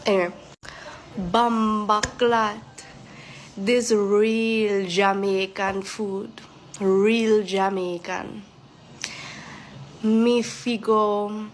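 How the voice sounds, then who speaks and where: Slow, tentative woman. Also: medium pitch, steady tone, slight background noise, poor audio. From South Africa. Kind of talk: casual selfie video.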